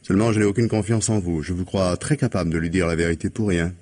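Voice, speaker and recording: An actor playing a stern, implacable police investigator in 1950s French radio mystery style, commanding and deliberate, with a husky voice. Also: male voice, sits low, fairly even intonation, darkish tone. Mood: stern, menacing. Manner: commanding, deliberate, articulate, dictating orders.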